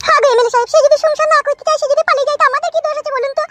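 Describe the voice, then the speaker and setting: A woman voicing a brazen, argumentative young cartoon wife with a rural West Bengal Bengali accent and a sharp tongue. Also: extremely high pitch, steady tone, very fast, dry recording. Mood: defensive. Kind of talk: comic cartoon dubbing.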